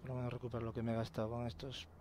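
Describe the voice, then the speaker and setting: A man's voice: mid-range voice, completely flat delivery, rapid-fire. A man commenting casually on a game he plays, swearing often. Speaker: gameplay commentator. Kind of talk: let's play commentary. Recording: voice-over with noticeable noise.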